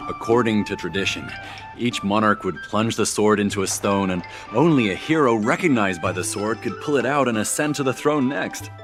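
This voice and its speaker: A man's voice: fairly low pitch, normal speed, music in the background, close to an everyday voice. A man playing an earnest, proud envoy from a distant planet in a video game, eager and formally polite. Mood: reverent storytelling. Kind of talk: scripted video game dialogue.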